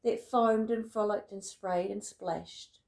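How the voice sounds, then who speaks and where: A woman reading a rhyming picture book aloud in an expressive, deliberate storytelling manner. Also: mid-range voice, creaky, echoey room. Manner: dramatic, deliberate storytelling with emphatic words. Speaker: storybook reader.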